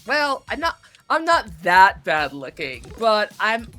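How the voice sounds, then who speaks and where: A woman voice actor being interviewed, chatting casually during a video game in an excitable, halting way, often interrupting herself. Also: mid-range voice, faint music behind. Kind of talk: casual chat while playing a video game.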